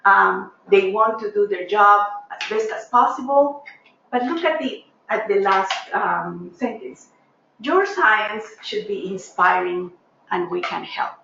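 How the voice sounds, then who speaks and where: A woman's voice: medium pitch, lively intonation, slow pace, creaky, sharp tone, a little hiss or hum. Middle-aged Peruvian woman lecturer, earnest and thoughtful, hesitant restarts, very echoey lecture room, dull lo-fi sound. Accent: Peruvian Spanish. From Peru. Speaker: professor, lecturer. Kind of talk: academic lecture to a seminar room.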